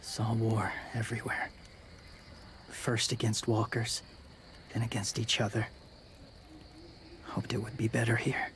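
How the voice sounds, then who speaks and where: An actor playing a quiet, guarded young male wanderer who speaks in terse, subdued fragments in a video game drama. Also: fairly low pitch, monotone, unhurried, long pauses, a little hiss or hum. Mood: weary, subdued.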